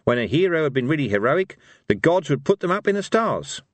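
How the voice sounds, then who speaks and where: Man voicing a composed older woman, raised pitch. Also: very animated, a little fast, no echo. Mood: wry, thoughtful. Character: wry, composed older woman, a former warrior. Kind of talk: audiobook character voice.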